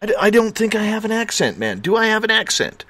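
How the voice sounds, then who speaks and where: A man's voice: high-pitched, sing-song, fast, a bit bright, no echo. A man with a Canadian accent hosting a late-night radio show, laid-back and joking.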